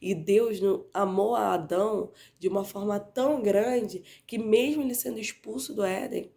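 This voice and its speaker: A woman leads a Bible lesson with a stuffy, nasal voice, hoarse from a cold and carrying a gravelly rasp. She talks conversationally and keeps checking in with her listeners by tagging "né?" onto her sentences. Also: mid-range voice, moderate pace, mellow. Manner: conversational, frequently adds "né?" tag questions. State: congested and slightly hoarse from a cold. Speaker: Bible teacher. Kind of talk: Bible class teaching.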